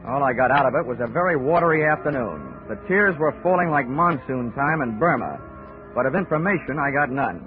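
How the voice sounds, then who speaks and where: An actor playing a cocky, wisecracking insurance investigator who narrates wryly in a 1940s radio drama. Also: male voice, medium pitch, lively intonation, fairly quick, natural voice. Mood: narrating. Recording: tinny old 1940s radio recording with music.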